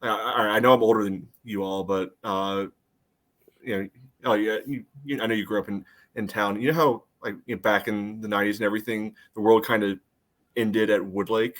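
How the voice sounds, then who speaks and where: A man's voice: on the low side, fairly even intonation, brisk, a bit bright. A middle-aged man chatting casually about sports as a podcast host, meandering and often saying "you know.".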